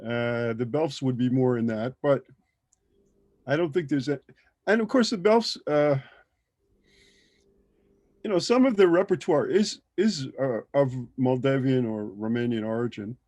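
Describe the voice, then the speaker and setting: A man speaking as a panelist in a casual discussion, halting as he thinks aloud over a video call. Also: medium pitch, a little mellow, no echo.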